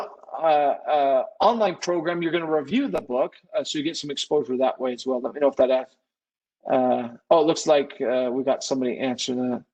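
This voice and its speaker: A man's voice: on the high side, a little mellow, muffled recording. A man presenting an informal live webinar Q&A, conversational and thinking aloud, often correcting himself as he goes. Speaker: webinar presenter, business consultant.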